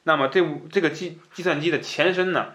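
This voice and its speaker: A man's voice: on the high side, fast talker, fairly bright tone. Colloquial man hosting a casual talk show. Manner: colloquial, chuckles at his own asides. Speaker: podcast host.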